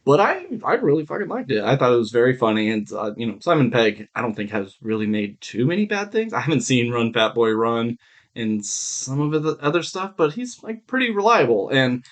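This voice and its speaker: A man's voice: mid-range voice, sing-song, fairly quick. A man co-hosting a podcast, chatting about shows in an informal, rambling, sweary way. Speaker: podcast co-host. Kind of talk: casual review chat.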